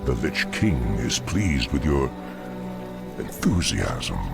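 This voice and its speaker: An actor playing a sinister, commanding demon dreadlord in a fantasy game, slow and formal in his menace. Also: very animated, exaggerated voice. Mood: menacing, smug. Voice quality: very deep, gravelly. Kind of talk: fantasy video game acting. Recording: music under the voice.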